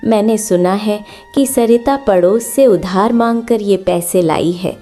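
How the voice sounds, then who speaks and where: Woman voicing a slow, gentle elderly cartoon mother. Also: medium pitch, soft background music, lightly acted. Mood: resigned, tender. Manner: slow, soothing blessing. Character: gentle, reassuring, self-sacrificing elderly village mother. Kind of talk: Hindi cartoon moral story voice acting.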